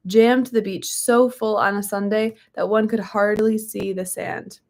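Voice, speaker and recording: A young woman with a hoarse voice, chatting casually and reading a book aloud, with frequent ums and likes. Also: a little high.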